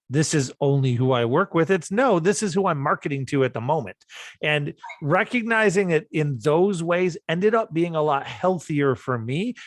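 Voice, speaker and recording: A man's voice: fairly high pitch, no room sound, studio quality. A man hosting a podcast, talking in a reflective, encouraging way in a casual interview. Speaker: podcast host.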